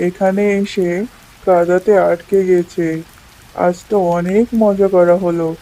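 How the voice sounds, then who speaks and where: A woman's voice: on the low side, level tone, takes it slowly, dark tone, poor audio. A woman voicing an easygoing, friendly village child in a children's cartoon story. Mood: cheerful.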